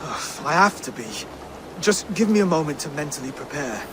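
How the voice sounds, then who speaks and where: A reluctant young Saxon heir, hesitant and flustered, as a man acts him out. His high voice jumps around a lot. Loud ambient noise fills the game cutscene behind him. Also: expressive, moderate pace, natural voice. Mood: nervous. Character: young Saxon would-be king, nervous and reluctant.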